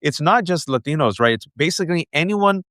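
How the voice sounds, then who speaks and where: A man in his forties from the United States, hosting an interview and telling animated personal stories with lots of asides. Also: fairly high pitch, a little fast, dry recording, studio quality. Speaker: host. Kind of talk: conversational interview.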